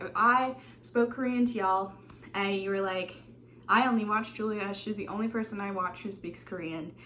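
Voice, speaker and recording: A woman's voice: medium pitch, slight background noise. A young woman giving casual, self-mocking tips straight to camera in her bedroom. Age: young adult. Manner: chatty, animated, self-mocking, drops asides and laughs. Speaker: vlogger. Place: small bedroom, indoors.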